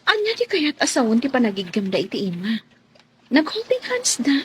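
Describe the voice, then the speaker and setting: An actress playing a shy, deferential young housemaid in a radio drama, coy, hesitant and polite. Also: female voice, fairly high pitch, wildly swooping pitch, brisk, a little hiss or hum. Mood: teasing. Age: young adult.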